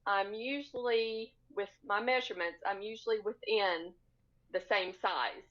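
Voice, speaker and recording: Measured woman guest, slightly muffled call audio. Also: medium pitch, fairly bright tone. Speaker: podcast guest. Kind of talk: casual conversation. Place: indoors on a split-screen video call.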